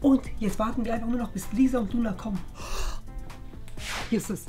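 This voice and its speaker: A woman's voice: a little high, brisk, mellow, faint music behind, natural voice. A woman playing a cheeky young schoolboy prankster in a children's comedy skit, sly and blustering. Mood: scheming.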